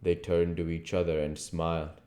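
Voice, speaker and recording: A man's voice: deep voice, flat delivery, normal speed, warm tone. A man reading aloud as a storyteller and channel host, narrating in prose with the odd stumble. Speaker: audiobook reader and channel host. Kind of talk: novel narration, read aloud.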